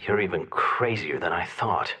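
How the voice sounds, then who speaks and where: An actor playing a forceful, accusatory male senator, exasperated and indignant, in a video game cutscene. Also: deep voice, moderate pace, soft background music. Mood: alarmed.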